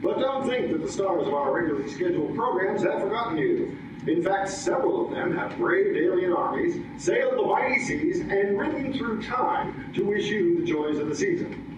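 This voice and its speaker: An actor playing a formal, dramatic announcer in the style of old-time radio. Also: male voice, high-pitched, lively intonation, moderate pace, noisy background. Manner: theatrical, formal. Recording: dull, distant, echoing recording under loud music.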